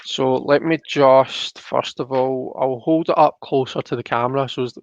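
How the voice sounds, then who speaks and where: A man's voice: medium pitch, steady tone, moderate pace, dry recording, lo-fi sound. A Scottish man hosting a casual live stream, informal and thinking aloud as he talks viewers through a reading. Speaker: live stream host, tarot reader.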